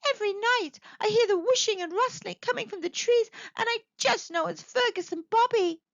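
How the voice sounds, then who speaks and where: A woman's voice: very high-pitched, moderate pace, vocal fry, no room sound, muffled recording, voice pushed up. A woman voicing a timid, anxious young female hedgehog in a children's storybook, speaking in a fretful way. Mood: timid, frightened. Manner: quiet, fretful.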